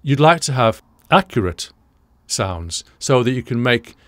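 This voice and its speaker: A British man in his sixties presenting an explanatory audio commentary, deliberate and didactic, repeating key points, close to the microphone in a small studio. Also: medium pitch, sing-song, a bit bright, no echo. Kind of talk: explanatory reaction commentary to camera. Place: small indoor studio room, dry.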